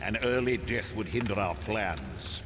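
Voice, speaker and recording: Slow, sweeping, imperious supervillain. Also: male voice, fairly low pitch, big pitch swings. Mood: cold command. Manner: formal, pompous, deliberate. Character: imperious, menacing male supervillain mastermind in command. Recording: noisy game cutscene audio.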